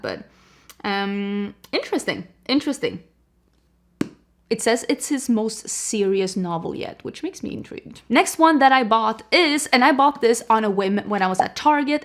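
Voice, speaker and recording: A chatty woman from Sweden, whose first language is Swedish, talks casually about her books as a vlogger. She sounds animated and sprinkles her sentences with "like." Her voice sits at a middle pitch for a woman. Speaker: book vlogger. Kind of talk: casual chat.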